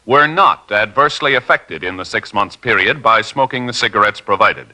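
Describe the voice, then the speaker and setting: A man's voice: lowish voice, lively intonation, normal speed, bright, forceful tone, low-quality recording. A man announcing formally in a declamatory 1950s radio style. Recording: 1950s radio broadcast recording.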